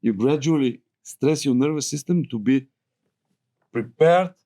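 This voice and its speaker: A man's voice: medium pitch, measured pace, mellow. A middle-aged man with a Bulgarian accent, a guest filmmaker telling his story in a casual roundtable chat, rambling and laughing often.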